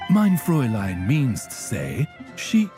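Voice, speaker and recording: Deep, courteous raven familiar. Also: female voice, wildly swooping pitch, exaggerated voice. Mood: explanatory. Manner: formal, courteous, measured. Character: formal, courteous talking raven familiar, patient interpreter. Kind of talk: video game voice acting. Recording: faint music behind the voice.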